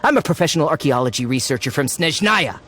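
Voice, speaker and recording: A man's voice: fairly high pitch, lively intonation, fast talker, a bit bright, soft background music. An actor playing a shifty, greedy, boastful man posing as a scholarly treasure researcher in a video game, full of bluster and stammered excuses. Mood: flustered, defensive.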